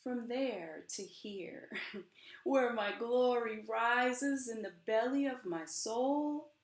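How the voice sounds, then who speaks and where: Middle-aged American woman, children's author read-aloud: slow, expressive, dramatic phrasing, roomy home recording. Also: a little high. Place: small room indoors at home. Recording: roomy indoor echo.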